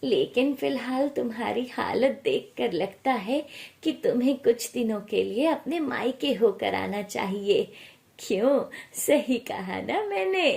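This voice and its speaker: Woman playing a kindly mother-in-law, middle-aged, slow pace, patient, reassuring, storybook dialogue. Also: a little high, lively intonation, vocal fry, a little mellow, full character voice. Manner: patient, instructing.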